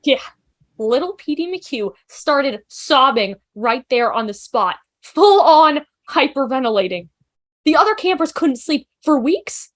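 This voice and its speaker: Outspoken, nervy young female student for TV drama table read, played by a woman; very high, animated, theatrical delivery. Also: unhurried. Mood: impassioned. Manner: rambling, emphatic, theatrical.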